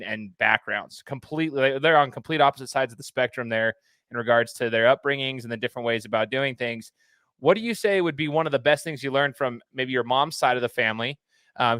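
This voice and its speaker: A man's voice: medium pitch, no echo. Chatty male host with a dry, close sound. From Idaho. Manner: chatty, animated, long winding questions. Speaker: podcast host. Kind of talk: casual interview.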